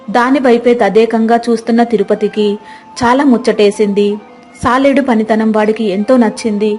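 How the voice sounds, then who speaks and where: A woman's voice: on the high side, a little mellow. A woman storyteller reading a scripted moral tale as narrator.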